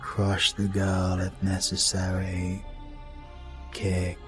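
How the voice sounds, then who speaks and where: Man acting as deep, measured, scheming demon lord. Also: monotone, faint music behind. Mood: cold, commanding. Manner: slow, deliberate, commanding. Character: calm, scheming, imprisoned demon lord commanding his servants. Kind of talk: fantasy audio roleplay voice acting.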